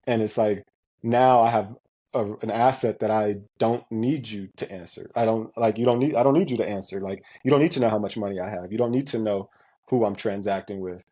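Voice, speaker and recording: A man's voice: on the low side, fairly quick, mellow, husky. A man talking in a casual interview, emphatic and repetitive, often restarting his sentences. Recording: muffled lo-fi audio.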